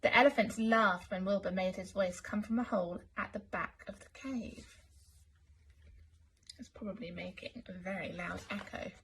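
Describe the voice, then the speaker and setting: A woman storyteller reading a children's picture book aloud in an expressive, deliberate way. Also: medium pitch, slight background noise, poor audio. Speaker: school storyteller.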